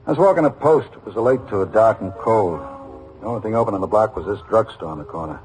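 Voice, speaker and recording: In a vintage radio drama with music, an actor plays a seasoned American detective. He is a man with a lowish voice who talks casually and colloquially, with no show about him. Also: expressive, quick pace, a little mellow, natural voice, poor audio. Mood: casual storytelling. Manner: colloquial, casual anecdote telling. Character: seasoned, unassuming plainclothes police detective. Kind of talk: old radio police drama acting.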